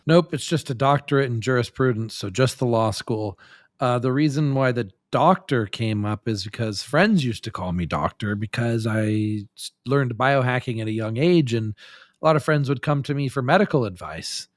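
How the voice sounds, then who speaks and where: A man's voice: medium pitch, moderate pace, no echo, clean studio sound. A man talking casually and frankly as a podcast guest, in a loose, rambling way. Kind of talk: casual candid chat.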